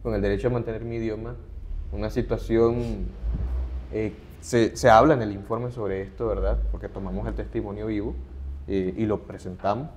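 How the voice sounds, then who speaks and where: A man's voice: on the low side, fairly even intonation, moderate pace, a little mellow, slight background noise. A middle-aged man, a human rights advocate, earnestly explaining points as a guest in an interview. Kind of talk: conversational interview.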